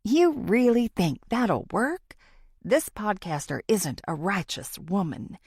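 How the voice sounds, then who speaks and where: A woman voicing an anxious, pious male police officer with a higher voice, agitated and worried, in a thriller audiobook. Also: very animated, slow, darkish tone, husky, dry recording, studio quality, exaggerated voice. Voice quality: higher-pitched man's voice.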